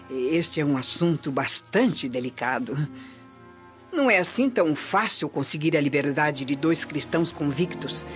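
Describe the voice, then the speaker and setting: A woman acting a haughty, coolly insinuating Roman noblewoman in a radio drama, polished and condescending. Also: low voice. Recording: dull lo-fi audio, music behind.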